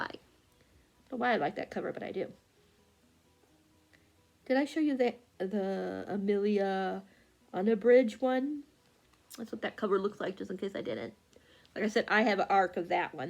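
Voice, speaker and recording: A woman book vlogger chatting casually and haltingly, often losing her thread and correcting herself. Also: mid-range voice, gravelly. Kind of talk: casual rambling chat about books.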